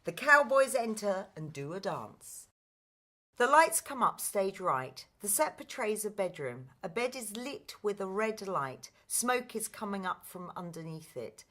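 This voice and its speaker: Woman narrator, scripted stage directions, unhurried pace, lively intonation. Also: medium pitch.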